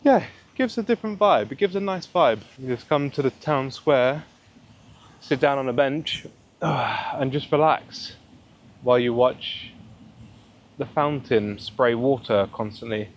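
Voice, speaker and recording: A young man with a deep voice, chatting casually in a rambling way as he vlogs a walk outdoors through a town. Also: unhurried, a little hiss or hum. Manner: relaxed, rambling, many fillers. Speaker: travel vlogger. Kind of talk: casual walking vlog. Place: outdoors in a town square and park.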